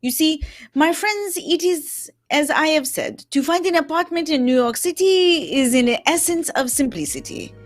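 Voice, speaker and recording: A woman's voice: high voice, lively intonation, moderate pace. A woman playing a dapper, self-assured male detective in a put-on French accent, with formal, courtly phrasing, in a radio drama reading. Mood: smug, measured. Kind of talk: live old-time radio drama reading.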